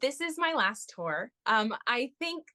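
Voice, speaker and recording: A woman's voice: a little high, big pitch swings, measured pace, bright, forceful tone. A young woman from Florida, a touring musical theater performer, chatting as a guest in an interview and laughing often. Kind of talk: casual interview chat.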